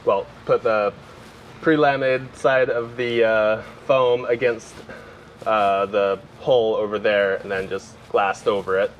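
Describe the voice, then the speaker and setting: Low, laid-back man explaining repairs haltingly, faint noise behind. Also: steady tone. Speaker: co-owner on the refit. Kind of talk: casual chat.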